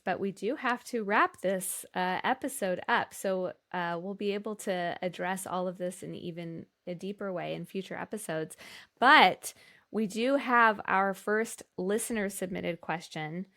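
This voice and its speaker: A woman's voice: medium pitch, measured pace, fairly bright tone. A woman co-hosting a podcast, talking in a casual, enthusiastic and effusive way. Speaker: podcast co-host. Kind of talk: casual conversational discussion.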